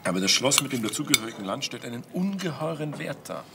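A man playing a smooth, haughty count in a crime drama, persuasive and self-important. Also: medium pitch, very animated, fast, sharp tone, slight background noise, exaggerated voice. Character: smooth-talking, haughty male count and property promoter. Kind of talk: German TV crime drama acting.

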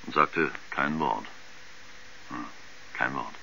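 An actor playing a subdued, pensive man who speaks haltingly in a radio drama. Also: very deep voice, vocal fry, bright, forceful tone, lo-fi sound, heavily acted. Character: subdued, pensive man recalling a moon mission. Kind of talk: 1970s German radio drama acting. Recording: hissy, band-limited 1970s radio recording.

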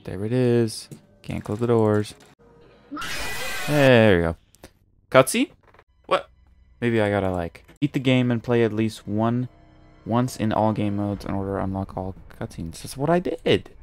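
A man's voice: lowish voice, a little slow, long pauses, faint music behind. A young man giving casual, offhand live gaming commentary, muttering to himself and swearing. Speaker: game streamer.

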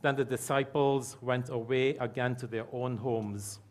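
A middle-aged man preaching at a pulpit microphone in a church hall with stage curtains. His pitch sits in an ordinary middle range, and he speaks with steady emphasis, slowing down to repeat key phrases. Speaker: pastor. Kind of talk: sermon.

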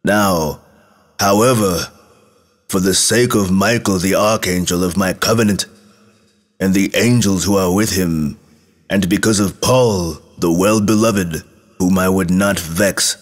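A man's voice: low-pitched, measured pace, in a large hall. A man voicing the Son of God as a grave, reproachful divine judge in a scripture reading. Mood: solemn mercy.

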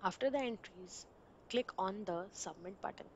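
A woman reading a screen-recording software walkthrough in a steady instructional way, with small grammar slips. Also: medium pitch, normal speed, lo-fi sound, a little hiss or hum. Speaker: software tutorial narrator.

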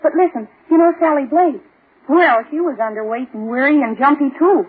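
An actress playing a helpful, chatty friend giving advice in a 1940s radio advertisement. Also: female voice, sits high, moderate pace, lightly acted. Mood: chatty, reassuring. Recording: muffled, lo-fi old radio recording.

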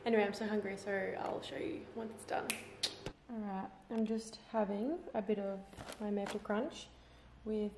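A woman's voice: mid-range voice, fairly even intonation, moderate pace, gravelly. A young woman in her twenties vlogging casually at home, gushing and chatty with lots of "like", filmed on a handheld camera. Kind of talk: casual vlog chat. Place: home gym and kitchen, indoors. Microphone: handheld camera at arm's length. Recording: echoey room with faint noise.

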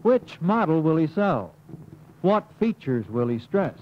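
A man's voice: on the high side, big pitch swings, a little slow. An American man reading scripted instructional narration in an emphatic manner, as the narrator of a training film. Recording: muffled, hissy 1950s film soundtrack.

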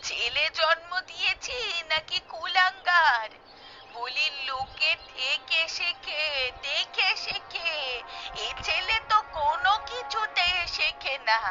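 A woman playing a sharp-tongued, disdainful old village mother in a comic cartoon, nagging in drawn-out scolds. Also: very high voice, animated, heavily acted. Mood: scolding. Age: older. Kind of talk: Bengali comic cartoon acting. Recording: dull lo-fi track, music behind.

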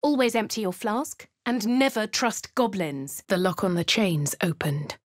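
An actress voicing a posh female adventurer with an English accent, speaking in a breathy, often half-whispered way. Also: mid-range voice, measured pace, no echo, lightly acted. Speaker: voice actor for a player character. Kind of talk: video game voice lines. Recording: dry studio booth.

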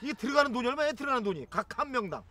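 An actor playing a blustering middle-aged agency boss, scolding in a sitcom. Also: male voice, very high-pitched, sing-song, very fast, sharp tone, full character voice. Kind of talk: sitcom acting. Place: outdoor park. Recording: background music under dialogue.

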